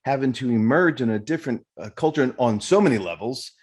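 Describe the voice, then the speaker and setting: A man's voice: mid-range voice, animated, fast, darkish tone, breathy edge. A male podcast host giving an earnest, effusive introduction and asking questions, with fillers and restarts.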